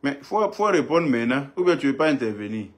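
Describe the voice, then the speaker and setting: A man's voice: medium pitch, sing-song, fast talker. A man hosting a live stream who takes phone callers and readily agrees with them. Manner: agreeing, brief backchannels. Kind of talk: casual live-stream talk taking calls.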